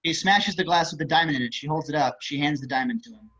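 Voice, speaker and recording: A man's voice: fairly high pitch, fast talker, a bit bright. A man reading stage and screen directions aloud as scripted narration. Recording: muffled online call audio.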